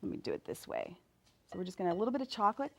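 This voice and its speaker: A woman's voice: on the low side, huge pitch swings, racing, gravelly, fairly bright tone. A woman from Louisiana, a guest chef on a TV kitchen set, cheerfully explaining each step as she cooks. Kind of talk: cooking demonstration.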